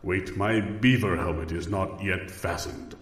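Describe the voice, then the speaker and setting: A man's voice: low-pitched, a little slow, a bit bright. A man playing a proud, solemn rodent duelist with grave, formal mock-archaic speech in improvised audio comedy. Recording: echoey hall with long reverb.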